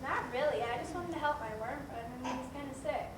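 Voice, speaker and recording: On a noisy, echoey stage, a woman plays a friendly young girl. The child speaks high and earnestly, plainly projecting lines for a children's play. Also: brisk, natural voice. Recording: echoey stage, far mic, clear noise.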